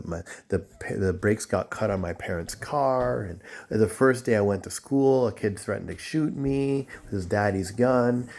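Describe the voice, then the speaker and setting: A man's voice: on the low side, mellow. Rambling man from Jamaica. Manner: rambling, digressive, self-promoting. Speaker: vlogger, music school owner. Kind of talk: rambling casual vlog monologue.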